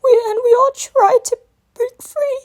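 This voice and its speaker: A young boy dragon, acted out by a woman, pours out a fright through sobs. The voice is very high and pushed, breaking and stammering with whimpers. It belongs to a dramatic storybook-style reading. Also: steady tone, unhurried. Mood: sobbing, panicked. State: crying. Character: distraught young male dragon confessing a nightmare. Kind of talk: dramatic reading of fan fiction.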